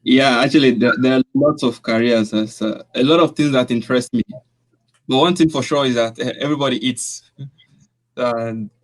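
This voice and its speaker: A man's voice: medium pitch, normal speed, poor audio. A young man from Nigeria, a student panelist who searches for words with repeats. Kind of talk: online panel discussion.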